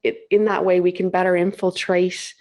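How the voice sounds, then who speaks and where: A woman hosts a relaxed business talk in a somewhat low voice. She thinks aloud as she frames her points, with frequent pauses and fillers. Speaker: host. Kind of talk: casual business chat.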